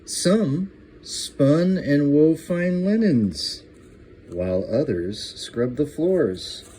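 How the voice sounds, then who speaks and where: An older man reading a rhyming picture book aloud to a young child, in an expressive, deliberate, sing-song way. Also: mid-range voice, slight background noise, rough recording. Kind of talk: expressive storybook narration.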